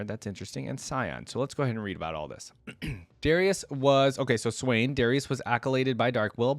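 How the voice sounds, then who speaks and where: A man's voice: on the low side, dry recording. A man hosting a reaction video, reading game lore aloud and dropping in informal, casual asides. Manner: informal asides between read passages.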